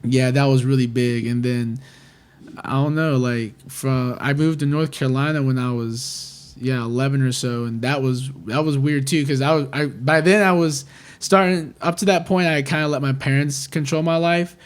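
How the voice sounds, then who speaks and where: This is a talkative young man speaking into a podcast mic. His voice sits in an ordinary middle pitch. He rambles loosely, circling back with filler words as he tells his story. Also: steady tone, normal speed, clean tone. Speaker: record label manager, guest. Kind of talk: casual podcast conversation.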